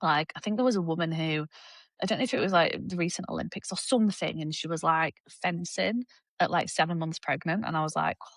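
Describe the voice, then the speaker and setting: A young woman with a Yorkshire accent, a women's fitness coach, talking in an animated, laughing way as a podcast guest. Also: lowish voice, no echo. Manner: animated, laughs often, quick asides. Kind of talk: casual podcast interview.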